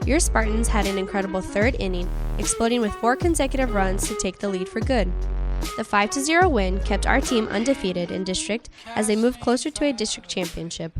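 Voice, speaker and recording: A woman's voice: medium pitch, expressive, fairly quick. A teenage girl reading an upbeat scripted sports report for a student news show. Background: background music.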